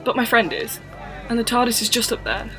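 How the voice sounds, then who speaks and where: A woman's voice: on the high side, fairly quick, a bit bright, a faint crowd, close to an everyday voice, low-quality recording. A woman playing an earnest, bewildered young companion in an audio drama, a little hesitant. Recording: music behind.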